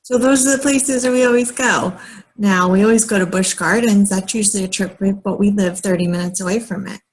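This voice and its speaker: An American woman in her late thirties talking casually and haltingly in a personal vlog, often pausing mid-sentence and rambling along. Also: mid-range voice, low-quality recording. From United States, moved often as a military child. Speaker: vlogger.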